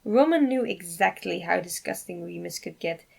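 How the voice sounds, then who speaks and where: A woman narrating a piece of fan fiction in an expressive storytelling style. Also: on the low side, normal speed, vocal fry, husky.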